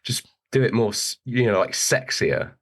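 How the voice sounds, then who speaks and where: A young man with a British accent tinged with American, speaking as an actor guest in a relaxed, hesitant interview chat, laughing easily. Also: mid-range voice, normal speed. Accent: British with a hint of American.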